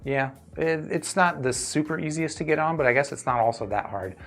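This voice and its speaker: A man's voice: a little high, animated, fairly quick, gravelly, fairly bright tone, faint music behind. A man hosting a live stream in a casual, conversational way, thinking aloud as he answers viewers. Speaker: host of a livestream reviewing earphones.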